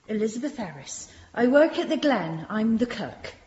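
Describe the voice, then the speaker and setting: A nervous servant in a Victorian radio drama. Her voice is fairly high and very changeable in pitch, meek and earnest toward her betters. The sound is roomy and a little dull. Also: very animated, normal speed, a little mellow. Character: nervous, deferential Victorian servant woman testifying, a cook. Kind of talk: British radio drama acting. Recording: muffled, echoey room recording.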